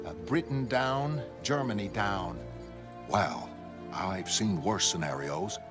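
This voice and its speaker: A man's voice: on the low side, slow, music in the background. A hawkish war-room adviser, played by a man in a motion comic. He reports in a businesslike, matter-of-fact way. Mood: blandly impressed. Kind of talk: motion comic dramatic acting.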